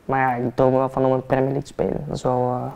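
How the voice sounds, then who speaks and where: Flat-voiced young man in a barber chair. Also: mid-range voice, very fast, gravelly, smooth. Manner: relaxed, hesitant with many fillers. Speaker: football player, interview guest. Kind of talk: casual interview chat.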